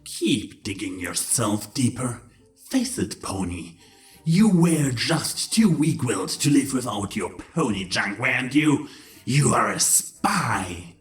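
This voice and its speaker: A man's voice: medium pitch, very animated, soft background music. A man voicing a smug male changeling who taunts and accuses with theatrical, mocking drawl in a comedy audio story. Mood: scornful. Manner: smirking, mocking, drawn-out.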